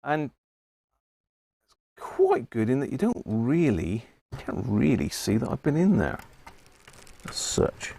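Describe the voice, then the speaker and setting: A man's voice: mid-range voice, sing-song, slow pace, vocal fry, pauses often, mellow, breathy edge. A man giving casual, unscripted live commentary to himself as he works on a hobby repair stream. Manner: unscripted, muttering to himself while working.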